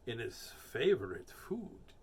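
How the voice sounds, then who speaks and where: A man's voice: medium pitch, big pitch swings, slow pace, breathy edge, heavily acted. A man voicing a small, crafty storybook mouse who is exaggeratedly polite and boastful, with a put-on accent. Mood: sly, boastful.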